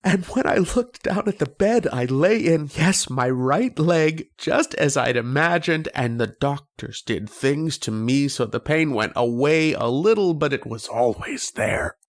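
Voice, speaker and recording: An actor playing a haunted, anguished man telling his story in a halting, dramatic old-time radio horror style. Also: fairly high pitch, animated. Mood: pained, brooding. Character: haunted, anguished man recounting his nightmares and lost love.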